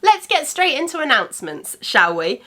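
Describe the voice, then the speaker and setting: Bright, chatty woman podcast host. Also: high voice, very animated, normal speed. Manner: chatty and rambling, many ums. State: congested from a cold. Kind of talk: casual chat.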